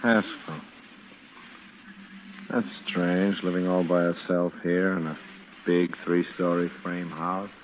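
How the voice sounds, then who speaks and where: A curious, uneasy man with a low voice and a plain, casual manner. It is 1940s radio drama. The recording is tinny, with faint noise. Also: little pitch movement, slow pace, long pauses.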